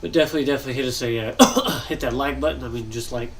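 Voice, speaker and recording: A man's voice: medium pitch, rapid-fire. Young man, stuffy nasal voice, rambling game commentary, faint hum behind. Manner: rambling, thinking aloud, frequent coughs and throat clearing. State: congested, nasal. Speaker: gaming channel host. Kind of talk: casual commentary while building in a game.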